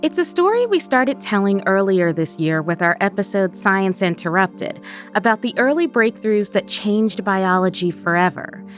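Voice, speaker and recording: A woman hosting a podcast, reading scripted narration with a clear storytelling delivery. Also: medium pitch, lively intonation, moderate pace, faint music behind. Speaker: podcast host.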